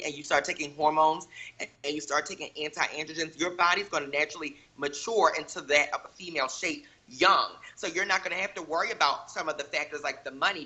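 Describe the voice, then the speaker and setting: A woman speaks up on a talk panel in a husky, breathy voice with a strikingly bright edge. She makes her points emphatically and keeps looking for agreement with a quick "right?". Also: low voice, rough recording. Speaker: panel guest. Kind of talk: casual panel discussion.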